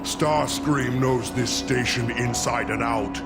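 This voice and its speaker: A ruthless giant robot warlord speaks with slow, deliberate command and a gravelly edge. He is grandiose and menacing, a video game villain over loud music and battle rumble. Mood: cold menace. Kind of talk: video game cutscene acting.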